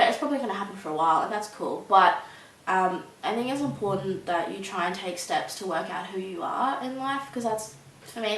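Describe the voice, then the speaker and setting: A woman's voice: medium pitch, gravelly, a bit bright. Young woman, hesitant interviewee, roomy distant sound with light hiss. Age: young adult. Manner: hesitant, many ums and likes. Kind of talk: casual interview answers.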